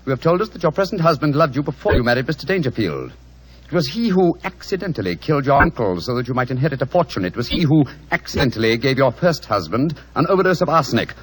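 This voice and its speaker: A confident british detective, old radio drama, fast and theatrical, very animated pitch, wry, bit of noise. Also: male voice, breathy edge. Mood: accusing. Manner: grandly formal, wry, precisely worded. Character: famous male detective, shrewd, confident, theatrical. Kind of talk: 1940s radio acting. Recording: noisy vintage radio recording.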